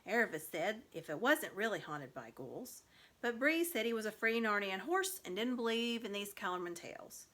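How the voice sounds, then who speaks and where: Female storybook narrator, lively intonation, bright clear-cut tone, expressive scripted reading. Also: mid-range voice, moderate pace. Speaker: storybook reader.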